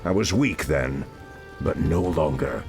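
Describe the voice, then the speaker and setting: An actor playing a solemn, noble night elf druid leader in a fantasy video game, grave, formal and full of authority. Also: male voice, rumbling low, expressive, slow pace, vocal fry, a little mellow, soft background music, heavily acted. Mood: resolute anger. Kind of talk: dramatic video game cutscene acting.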